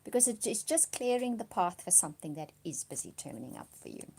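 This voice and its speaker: A woman doing a monthly tarot reading, slightly hoarse from a cold, speaking softly and reassuringly, dropping to a whisper as she reads the cards. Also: on the low side, big pitch swings, a little fast. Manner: soft-spoken, often drops to a whisper reading cards; reassuring, often checks in with "okay?". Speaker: tarot card reader.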